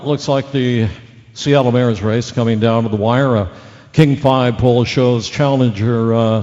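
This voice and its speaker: An actor playing a bumbling, chatty local radio news anchor who reads bulletins in a halting, folksy way with rambling asides. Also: male voice, medium pitch, darkish tone, in a large hall, lo-fi sound, lightly acted. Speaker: radio news and weather reader.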